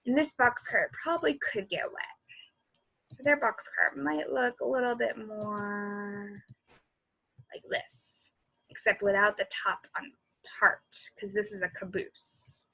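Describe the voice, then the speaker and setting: A woman reading a storybook aloud to children in an expressive, animated way, speaking directly to her young listeners. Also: medium pitch, slow, gravelly, husky, poor audio.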